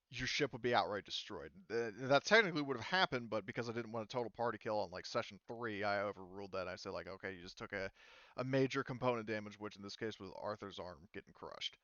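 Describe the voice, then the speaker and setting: A man running a tabletop game, explaining rules in a casual, halting, hedging way. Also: mid-range voice, a bit bright, clean tone, no room sound, lo-fi sound. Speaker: game master.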